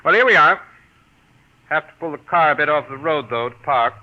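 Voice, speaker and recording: A man's voice: a little high, a little fast, close to an everyday voice. An actor playing a coldly scheming, wealthy businessman in 1940s radio style, brusque and commanding. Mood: coolly pointed. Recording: dull vintage radio recording.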